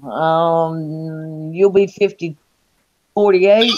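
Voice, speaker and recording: High-voiced man in his fifties, slow. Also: fairly even intonation, noisy background. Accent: American. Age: 50s. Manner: slow and drawn out. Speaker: co-host. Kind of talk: casual chat. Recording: dull lo-fi sound.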